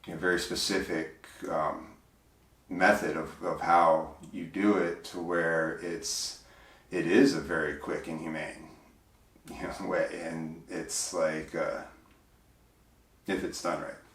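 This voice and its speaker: A man with a big, deep voice, speaking as an interview guest in a reflective, hesitant way, full of fillers and restarts. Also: level tone, slow, a bit bright, distant mic. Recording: roomy, voice from off mic.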